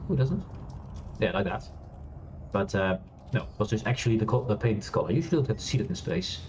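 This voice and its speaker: A man streaming a space game, chatting loosely with many fillers and restarts, laughing now and then. He has a loud voice. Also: fairly low pitch, very animated, fast, a little hiss or hum. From Europe. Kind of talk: casual gameplay commentary. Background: quiet game music.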